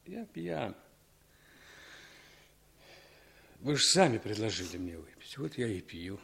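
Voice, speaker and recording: Bitter, drunken, self-loathing émigré. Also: male voice, fairly low pitch, sing-song, creaky, fairly bright tone, slightly rough, heavily acted. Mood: drunken, accusing. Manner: halting, brooding, repeats himself with bitter emphasis. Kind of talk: 1960s Soviet radio play acting.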